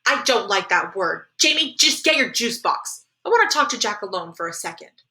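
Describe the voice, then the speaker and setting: A woman's voice: mid-range voice, wildly swooping pitch, quick pace, fairly bright tone. A woman voicing a firm, caring mother who talks earnestly to her boys and scolds, in a story reading. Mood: angry, scolding. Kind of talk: audiobook character dialogue.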